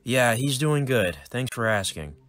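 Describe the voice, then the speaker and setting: A man playing a weary, protective middle-aged hitman father, speaking in a steady, earnest voice. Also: mid-range voice, unhurried, dry recording, faint music behind, pitched above the performer's own voice. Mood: calm.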